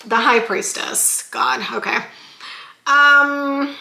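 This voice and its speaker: A woman reading tarot in a chatty, sassy way, often saying "you guys," with a slightly hoarse voice. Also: high-pitched, steady tone, extremely slow, bright, forceful tone, echoey room, rough recording. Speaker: tarot reader. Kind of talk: casual tarot reading vlog.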